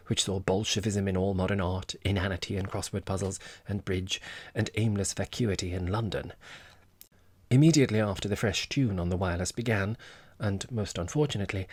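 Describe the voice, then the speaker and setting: A man's voice: low-pitched, darkish tone, husky, no room sound. A man reading literary fiction as a scripted audiobook narration.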